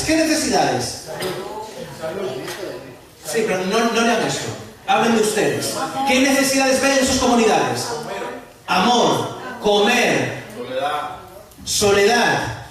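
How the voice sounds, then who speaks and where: Pastor preaching, asks the crowd stuff, jokes around, lots of echo from far off. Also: male voice, very high voice. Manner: lively, asks the audience questions and jokes. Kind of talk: sermon to a church gathering. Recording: echoey hall from far off.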